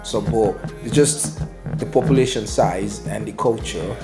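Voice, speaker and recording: A man from Nigeria, a musician guest chatting in an interview, animated and boastful, full of slang and shout-outs. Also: lowish voice, measured pace, music in the background. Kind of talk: casual interview chat.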